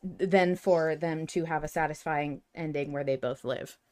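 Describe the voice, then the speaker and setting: A woman's voice: deep voice, steady tone, unhurried, darkish tone. A woman hosting a podcast in casual chat, teasing and informal and free with swearing. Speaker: podcast host.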